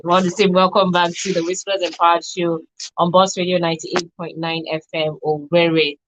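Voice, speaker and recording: Female Nigerian radio host, slightly low pitch, dry studio sound, live presenting. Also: dry recording, poor audio.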